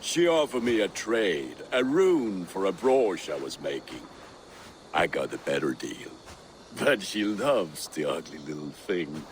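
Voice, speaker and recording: Lovestruck Viking smith, male, hearty and blunt, low voice, unhurried, for game dialogue. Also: very animated, a little hiss or hum. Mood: fond, proud. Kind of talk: video game dialogue acting.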